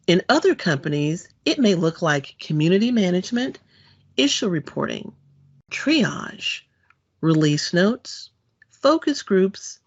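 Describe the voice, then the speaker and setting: A woman giving a scripted technical presentation in an explanatory, clearly phrased, read-out manner. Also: mid-range voice, muffled recording. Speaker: presenter, content developer.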